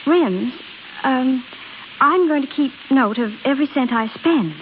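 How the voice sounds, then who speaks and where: Earnest, easily hurt young wife, high voice, old-time radio drama with background hiss. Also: female voice, animated, unhurried. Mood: earnest, wounded. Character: earnest young wife, anxious, budget-minded, quick to hurt. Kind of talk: 1930s radio acting. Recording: hissy, phone-like old radio recording.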